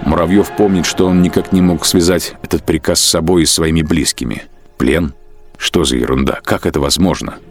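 A man narrating a radio drama in a measured, grave storytelling style. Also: rumbling low, steady tone, soft background music. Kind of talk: steady literary narration for a radio play.

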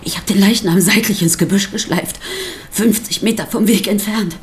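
An actress playing a sardonic, defiant pastor's wife in a radio drama, speaking with provocative, theatrical emphasis. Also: female voice, mid-range voice, moderate pace, a little hiss or hum, lightly acted. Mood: wry, narrating. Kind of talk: German radio drama acting.

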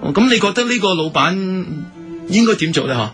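A man's voice: sits high, animated, fairly quick, a bit bright. A man acting in a radio drama with a Hong Kong Cantonese accent, playing a courteous, eager and smitten silk-shop owner. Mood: coy, smitten. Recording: music bed under the voice.

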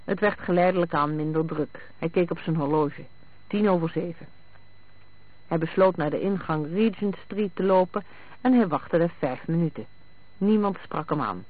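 A man's voice: fairly high pitch, normal speed, no room sound. A man reading a novel aloud as scripted narration. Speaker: audiobook narrator. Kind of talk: scripted narration of a detective novel with acted dialogue. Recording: thin, phone-like band-limited radio sound.

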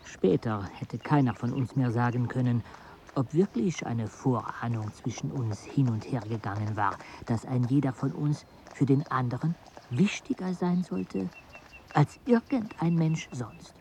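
A man narrating a radio play in a measured, even storytelling manner. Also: mid-range voice, a little hiss or hum. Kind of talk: scripted narration.

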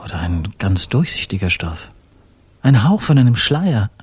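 An actor in a radio drama playing a polite, uneasy bohemian man of the world, speaking in a courteous, measured, conversational way. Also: lowish voice, sing-song, darkish tone, breathy edge, full character voice. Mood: musing.

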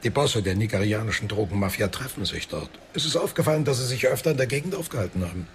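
An actor playing a businesslike police inspector, giving a factual, official briefing in a crime radio drama. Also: male voice, on the low side, animated, fast talker, slight background noise, lightly acted. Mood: matter-of-fact.

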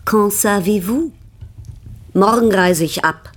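An actress playing an imperious, discontented baroness in a German radio drama, husky and haughtily complaining. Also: female voice, mid-range voice, takes it slowly. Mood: exasperated, bitter. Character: imperious, discontented baroness, older sister of a bohemian.

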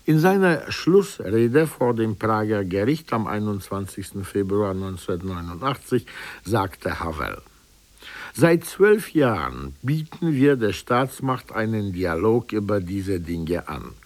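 A man reading a formal scripted lecture in a measured, earnest manner with emphatic phrasing. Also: lowish voice, expressive, no room sound.

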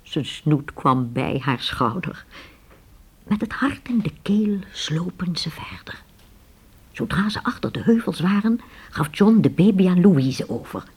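A woman with a husky voice narrating a radio play as a dramatic, scripted storyteller. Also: fairly low pitch, expressive, a little slow, darkish tone.

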